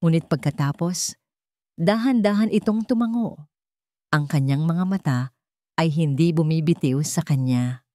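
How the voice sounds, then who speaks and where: A woman's voice: low-pitched, measured pace, long pauses, mellow, no room sound, studio quality. A woman narrating a scripted dramatic story as its storyteller.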